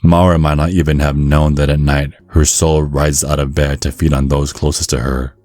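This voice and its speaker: A man reading scripted ASMR narration in a measured, storytelling manner. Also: rumbling low, little pitch movement, darkish tone, dry recording, soft background music.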